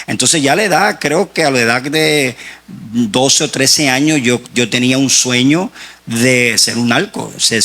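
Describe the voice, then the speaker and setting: A man from Puerto Rico with a Puerto Rican accent, a guest author giving his personal faith testimony in an earnest, halting way. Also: mid-range voice, fairly bright tone.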